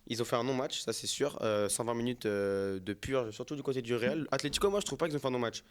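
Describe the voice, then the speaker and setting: Fast, smooth-voiced man venting on football. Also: mid-range voice. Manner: quick, opinionated, venting. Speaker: podcast co-host. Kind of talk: casual football debate.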